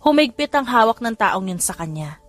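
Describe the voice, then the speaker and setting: A Filipino woman with a fairly high voice narrates a scripted audiobook. She reads the story and voices its dialogue, with music playing softly behind her. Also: very animated, moderate pace, no room sound. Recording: music under the voice. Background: background music.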